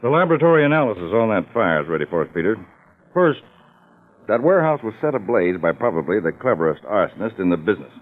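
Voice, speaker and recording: A low-voiced, shrewd radio-drama chief. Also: male voice, sing-song, fast. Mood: briefing. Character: authoritative, shrewd male law-enforcement chief. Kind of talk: old-time radio crime drama acting. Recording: muffled, narrow old radio recording.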